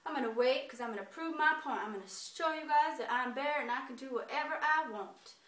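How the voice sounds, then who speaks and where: A woman voicing a big, proud, stubborn bear who brags in a booming, declamatory way for a children's folktale. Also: brisk, fairly bright tone. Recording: echoey room, distant microphone.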